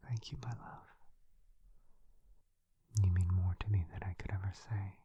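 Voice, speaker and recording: A man's voice: low-pitched, fairly even intonation, unhurried, warm tone, slightly rough, muffled recording, full character voice. A man voicing a devoted immortal vampire lord in a tender, intimate whisper for a boyfriend ASMR roleplay. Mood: tender, adoring.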